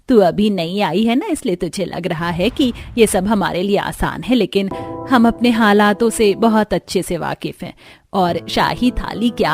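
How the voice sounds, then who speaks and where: A woman's voice: medium pitch, darkish tone, pitched below the performer's own voice, close to an everyday voice. A woman voicing an older mother-in-law in a cartoon family story, approving yet resigned. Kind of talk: Hindi cartoon story acting.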